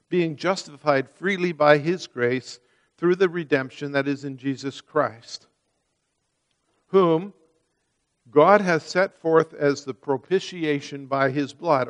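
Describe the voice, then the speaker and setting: A man preaching a sermon, reading scripture and then explaining it in an earnest manner, often repeating words as he restarts a phrase. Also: a little high.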